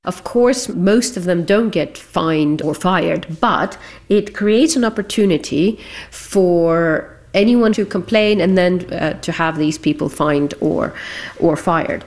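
A woman's voice: lowish voice, takes it slowly, a little mellow. A female anthropologist giving a fluent, composed explanation in an interview.

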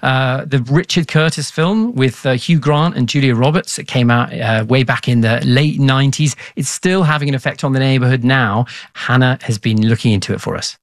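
A man's voice: medium pitch, normal speed, no room sound. A man working as a news anchor, introducing a report from the studio.

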